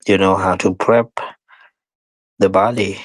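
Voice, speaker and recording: A man teaching guided meditation in short, unhurried phrases with pauses and non-standard English grammar. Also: deep voice, level tone, no echo, poor audio.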